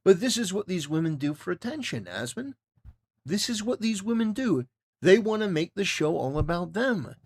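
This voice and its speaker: A man's voice: sits high, sing-song, moderate pace, breathy edge. A man giving casual, opinionated livestream commentary in an emphatic, repetitive way, with stop-start phrasing. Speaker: livestreamer reacting to a clip.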